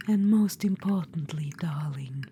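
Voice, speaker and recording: A woman's voice: low-pitched, measured pace, a little hiss or hum. A woman whispering as a silky undead witch-queen. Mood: silky, coaxing. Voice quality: soft unvoiced whisper. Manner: silky and condescending, keeps calling the listener darling. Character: scheming undead witch-queen spirit, female, ancient, silky. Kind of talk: whispered ASMR audio roleplay.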